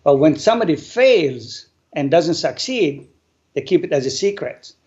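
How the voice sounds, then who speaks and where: A man, an English speaker, telling his life story earnestly and deliberately as an interview guest. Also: fairly high pitch, big pitch swings, a little mellow. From Lebanon. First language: not English. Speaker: guest, business owner. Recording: dull lo-fi sound.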